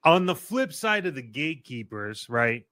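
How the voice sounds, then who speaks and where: A man's voice: fairly high pitch, very animated, moderate pace, fairly bright tone. A man co-hosting a podcast, chatting casually in a blunt, slangy, conversational way. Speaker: podcast co-host.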